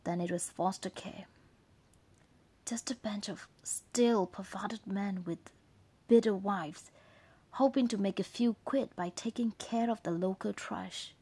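Actress as bitter, wounded gardener woman, mellow and breathy, confiding bluntly and haltingly in a dramatic monologue. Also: medium pitch. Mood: pained, resigned. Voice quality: husky, breathy, creaky. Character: wounded, bitter working-class woman gardener recounting her hard childhood.

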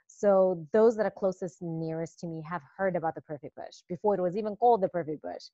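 Talkative woman from Zimbabwe, low voice. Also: a little fast, dry recording, lo-fi sound. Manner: talkative, animated with quoted asides. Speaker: guest, care provider and founder. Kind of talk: casual conversational interview. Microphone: remote call.

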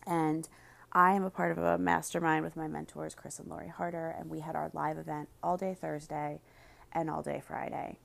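Creaky-voiced woman podcaster. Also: low voice, level tone, moderate pace. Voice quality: slightly raspy, creaky. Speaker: podcast host and business coach. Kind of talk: podcast monologue, promotional then casual chat.

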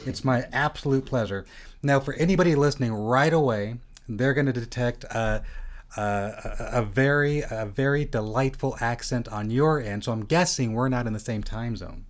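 A man's voice: medium pitch, normal speed. A man hosting a podcast, chatting casually with a guest and often stopping to restart his sentences. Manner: loud theatrical intro, then relaxed and rambling. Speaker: podcast host. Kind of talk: casual interview chat. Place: Las Vegas home studio.

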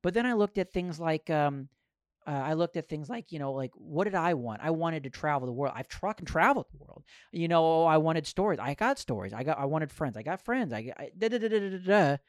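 A man's voice: a little high, animated, a little fast, dry recording, studio quality. A man in his thirties chatting casually on a podcast, earnest and rambling, repeating phrases and swearing freely. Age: 30s.

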